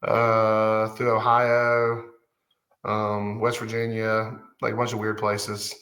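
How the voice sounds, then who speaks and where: A man's voice: lowish voice, completely flat delivery, slow pace, fairly bright tone, roomy sound. A man in his forties, a guest musician, talking casually and hesitantly with many fillers and restarts. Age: 40s. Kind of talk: casual conversation.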